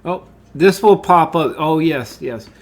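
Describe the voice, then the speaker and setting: A man giving an informal screen-share software tutorial, talking through his steps and thinking aloud as he works. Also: a little high, slow. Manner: casual, thinking aloud, addresses viewers as guys. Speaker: tutorial host.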